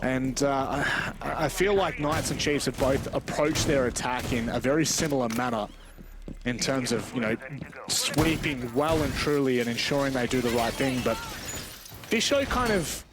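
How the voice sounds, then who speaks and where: A man's voice: medium pitch, normal speed. A man giving live play-by-play commentary on an esports match. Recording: game gunfire and clicks behind. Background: video game gunfire and clicks.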